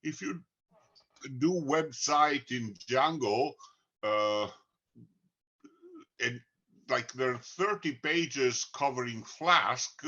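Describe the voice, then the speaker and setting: A man with an Italian accent, a book co-author, talking slowly and deliberately with many pauses. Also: mid-range voice, sharp tone, muffled recording. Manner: slow, deliberate, frequent "uh" pauses. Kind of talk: conversational talk.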